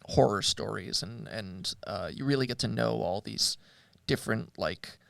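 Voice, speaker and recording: A man's voice: fairly low pitch, moderate pace, gravelly, fairly bright tone, no room sound. A man in a casual discussion as a show host, speaking hesitantly and searching for his words. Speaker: podcast host. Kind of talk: casual discussion of audio dramas.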